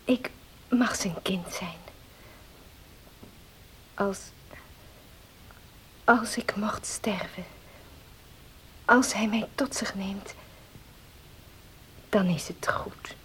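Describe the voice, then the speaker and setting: An actress playing a frail, devout young wife in a radio drama, breathy, solemn and halting. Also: female voice, medium pitch, a bit bright, poor audio. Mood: weak, devout. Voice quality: breathy, often near whisper. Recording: hissy old radio play recording.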